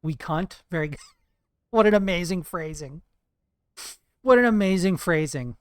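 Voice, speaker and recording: Chatty, profane streamer man with a higher voice. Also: animated, moderate pace, clean tone, no room sound, studio quality. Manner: chatty, profane, playful teasing of chat. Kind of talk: casual live-stream chat while gaming.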